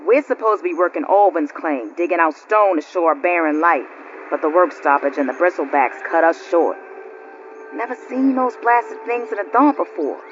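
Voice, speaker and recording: A woman's voice: lowish voice, expressive, normal speed, natural voice. A woman playing a hardy, plain-spoken quarry crew boss in a video game, with a folksy, colloquial delivery. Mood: frustrated. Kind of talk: cinematic video game acting. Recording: dull lo-fi audio with faint noise.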